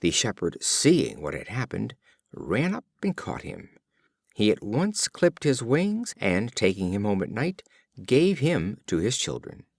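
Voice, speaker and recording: A man reading storybook fables as a scripted audiobook narration, in an expressive storyteller's delivery. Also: on the low side, unhurried, creaky, no echo, clean studio sound. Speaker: audiobook reader.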